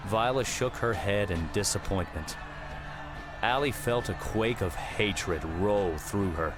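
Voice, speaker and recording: A man's voice: on the low side, slow pace. A man reading scripted third-person fiction as an audiobook narrator. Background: prominent music with outdoor ambience.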